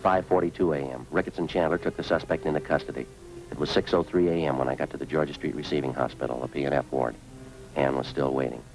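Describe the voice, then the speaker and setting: A man's voice: a bass voice, fairly even intonation, fast talker, darkish tone, soft background music, rough recording. A man playing a hard-boiled, weary police sergeant in a radio crime drama, with clipped, matter-of-fact delivery. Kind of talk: 1950s radio police drama acting and narration.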